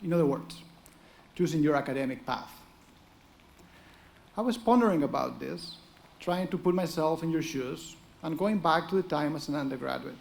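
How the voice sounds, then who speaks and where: A man's voice: fairly high pitch, moderate pace, creaky, pauses often, a little mellow. A middle-aged man with a Spanish accent, an economics professor delivering an earnest, encouraging ceremonial speech with touches of dry humor at a podium microphone. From Spain.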